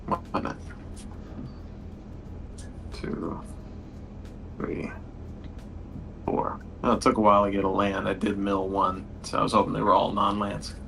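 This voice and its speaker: A man's voice: on the low side, level tone, unhurried, creaky, long pauses, pushed and bright, slight background noise, rough recording. A man playing a card game who calls out his turns and cracks friendly jokes. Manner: joking, friendly table talk. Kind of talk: casual game-table chat.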